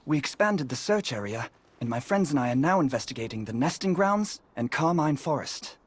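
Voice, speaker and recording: An actor playing an earnest, courteous resistance investigator in a video game, polite and a little hesitant when worried. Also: male voice, fairly high pitch, expressive, a little fast, breathy edge. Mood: earnest, urgent. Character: earnest, courteous male resistance investigator, anxious about a missing child. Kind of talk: video game character dialogue.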